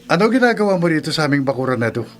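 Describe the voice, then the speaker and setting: An actor playing a hot-tempered, suspicious brother-in-law in a radio drama, confrontational and emphatic. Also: male voice, a little high, sing-song, very fast, background noise. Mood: suspicious, threatening. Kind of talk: Filipino radio drama acting.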